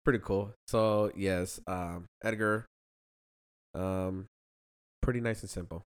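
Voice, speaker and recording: A man's voice: sits low, fairly even intonation, takes it slowly, clear, resonant tone. A man in his thirties from Chicago, co-hosting a casual podcast, joking and dropping bro and dude, sounding worn out from little sleep. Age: 30s. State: tired from short sleep. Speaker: podcast co-host. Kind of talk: casual chat.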